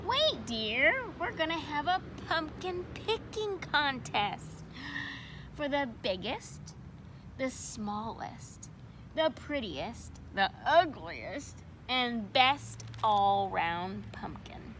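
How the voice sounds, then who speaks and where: A woman voicing a kind, patient schoolteacher in a storybook, speaking gently, with background noise. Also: high voice, very animated, extremely slow, heavily acted. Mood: gentle. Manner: gentle, announcing. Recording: noisy background.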